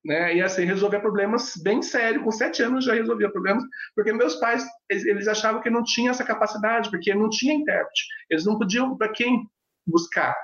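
Male pastor, sign-language native, halting and filler-heavy, echoey dull recording. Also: high voice, fairly bright tone. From Brazil. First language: Brazilian Sign Language. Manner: hesitant, many fillers and restarts. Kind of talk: personal storytelling in an interview.

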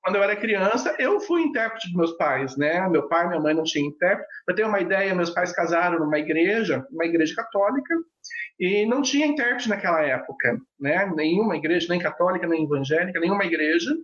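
A man's voice: high voice, expressive, quick pace, a bit bright. A male pastor and sign language interpreter whose first language is sign language, telling his story hesitantly with many fillers and restarts. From Brazil. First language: Brazilian Sign Language. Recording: echoey, dull lo-fi sound.

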